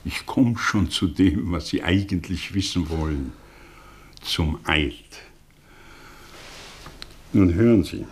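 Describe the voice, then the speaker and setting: An actor playing a cynical, eloquent middle-aged professor in a mid-century radio play, with a theatrical, sardonic delivery. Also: male voice, deep voice, slow pace, pauses often, slight background noise. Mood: confiding, intense.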